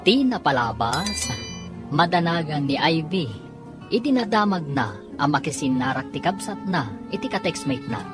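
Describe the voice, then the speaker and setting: A woman's voice: low voice, sing-song, moderate pace. A woman delivering theatrical scripted narration as a radio serial announcer. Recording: music bed behind voice. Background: clear music.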